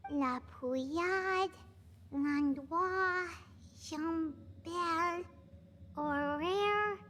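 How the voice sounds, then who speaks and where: A tiny game fairy with an extremely high, squeaky childlike voice. She is chatty and squeamish. The game audio has clear noise behind it. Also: extremely slow, pushed and bright, heavily acted. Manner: refers to self in third person. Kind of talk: video game voice acting.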